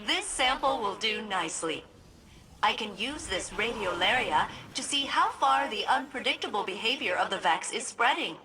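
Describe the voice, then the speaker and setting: A woman voicing a quirky, eager, helpful female ship AI in a video game, chirpy, robotic and expressive. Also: a little high, moderate pace, noisy background, close to an everyday voice. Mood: cheerful, helpful.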